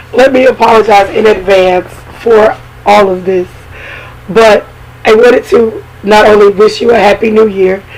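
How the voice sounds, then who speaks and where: A hoarse woman with a cold hosting a podcast in casual, chatty talk full of fillers and asides. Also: medium pitch, a little mellow, low-quality recording. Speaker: podcast host.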